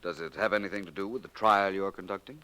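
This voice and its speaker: An actor playing an urbane, polished gentleman detective with formal, wry courtesy in a 1940s radio drama. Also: low voice, steady tone, quick pace. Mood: courteous, composed. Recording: noisy old radio recording.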